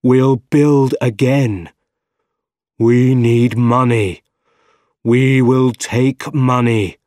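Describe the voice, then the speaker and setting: A man's voice: mid-range voice, steady tone, very slow, darkish tone, no room sound, clean studio sound. A man voicing a brooding, obsessive scientist villain in a storybook, with heavy, dramatic delivery. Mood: grim, obsessed.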